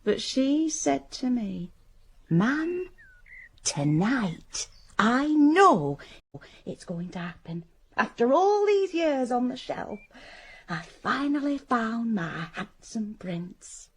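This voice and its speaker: A woman's voice: fairly high pitch, very animated, slow pace, a little hiss or hum, exaggerated voice. An actress playing a doting, gullible older working-class mother, homely and chatty, in a radio drama. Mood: dreamy, gushing.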